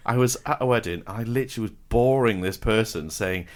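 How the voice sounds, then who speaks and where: Deep-voiced man hosting a podcast. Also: brisk, a little hiss or hum. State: a bit rough after a late night. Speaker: podcast host. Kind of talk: casual podcast chat.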